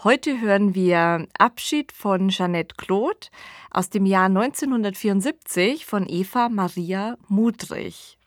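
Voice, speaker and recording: A woman's voice: medium pitch, expressive, takes it slowly, no echo. Female host, mid-range voice, podcast introduction, dry close sound.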